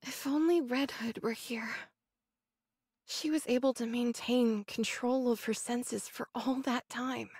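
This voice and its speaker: An actress playing an earnest, scholarly young android researcher in a video game, speaking in a measured, precise way. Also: female voice, fairly high pitch, steady tone, a little mellow, breathy edge, dry recording. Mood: earnest, composed. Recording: dry studio voice, faint game music.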